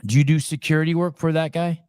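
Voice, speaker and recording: A man's voice: fairly high pitch, fairly even intonation, quick pace, a little mellow, clean tone, no echo, studio quality. Joking, rambling male podcast host. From Santa Cruz, California. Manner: rambling, joking, stumbles and restarts a lot. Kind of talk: casual interview.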